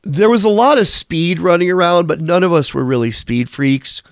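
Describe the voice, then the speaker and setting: A man's voice: high-pitched, normal speed, no room sound, studio quality. A man being interviewed as a musician, telling candid, rambling stories and swearing casually. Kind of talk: candid conversational storytelling.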